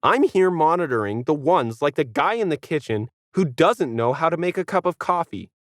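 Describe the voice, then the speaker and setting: A man's voice: on the high side, animated, normal speed, dry recording, close to an everyday voice. A haughty she-dog who talks and gives the orders acted by a man in a science fiction story. The delivery is indignant and commanding, with very varied intonation and a dry, close sound. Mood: defensive. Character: bossy, haughty female talking alien dog.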